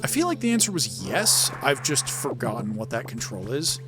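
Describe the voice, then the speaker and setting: A man's voice: medium pitch, wildly swooping pitch, normal speed, over music. A man giving casual let's-play commentary as host, rambling and thinking aloud. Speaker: gaming video host.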